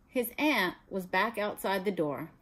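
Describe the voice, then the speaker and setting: A woman's voice: mid-range voice, lively intonation, a little slow, a bit bright. A middle-aged American woman, a teacher reading a children's story aloud expressively. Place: small room at home, indoors.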